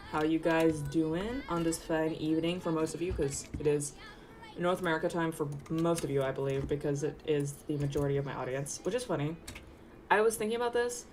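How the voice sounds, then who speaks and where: A woman's voice: low-pitched, slight background noise. A young woman from Taiwan chatting casually on a livestream while she works, rambling through tangents and laughing at her own jokes. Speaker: VTuber streamer. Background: faint background music.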